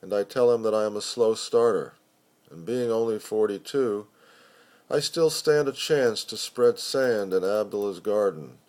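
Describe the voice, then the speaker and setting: A man reading a narrative poem aloud in a measured storytelling manner with pauses. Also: lowish voice, darkish tone. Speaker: poetry reader. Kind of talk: dramatic spoken-word poetry reading.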